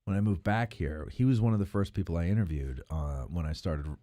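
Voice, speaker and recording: A man's voice: low-pitched, brisk, dark tone, dry recording. A man hosting a podcast in casual chat, with a joking, teasing manner. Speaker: podcast host.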